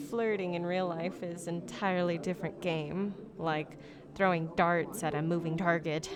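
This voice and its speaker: A woman playing a shy, geeky young silver fox on a blind date, speaking politely and hesitantly in an ASMR roleplay. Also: lowish voice. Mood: bashful. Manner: awkward, flustered, hesitant, politely formal. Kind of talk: ASMR roleplay, one-sided conversational acting.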